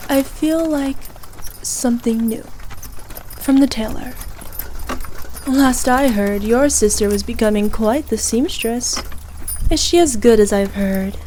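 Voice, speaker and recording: Evil queen played by a woman, regal, imperious, ruthless but doting, mellow tone, very unhurried, ASMR roleplay. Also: on the high side, slight background noise. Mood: teasing, commanding. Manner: regal, imperious, measured and indulgent. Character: haughty, ruthless evil queen, doting on her favourite knight. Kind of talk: ASMR roleplay, scripted character acting.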